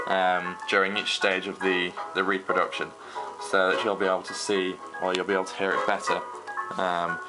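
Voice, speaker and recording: A young man giving an informal tutorial in a halting, conversational way, recorded at home on a camera microphone. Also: deep voice, low-quality recording. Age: young adult. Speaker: host, hobbyist record restorer. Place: small room at home, indoors. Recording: faint background noise, home recording.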